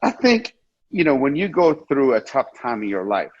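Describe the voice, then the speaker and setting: A man's voice: mid-range voice, very animated, normal speed, no echo. A man in his forties from Iran, a guest on an interview over a video call, talking earnestly with many repeats and restarts. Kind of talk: casual interview talk. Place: indoor room with ceiling fan, video call. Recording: muffled dry video call audio.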